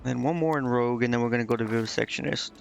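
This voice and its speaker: Male streamer, gravelly fry, mid pitch, offhand muttered play-by-play of his own choices, music underneath. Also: very fast. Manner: muttering indecisively to himself. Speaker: video game streamer. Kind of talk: casual live game commentary, thinking aloud.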